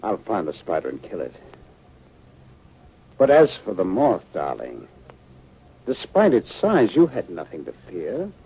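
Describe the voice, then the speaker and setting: Husky, dramatically swooping radio scientist. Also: male voice, low-pitched, wildly swooping pitch, unhurried, pauses often, exaggerated voice. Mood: calm lecturing. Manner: theatrical, explanatory, soothing. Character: concerned scientist husband, learned, patronizingly calm. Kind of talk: 1950s radio drama acting. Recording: muffled 1950 radio broadcast.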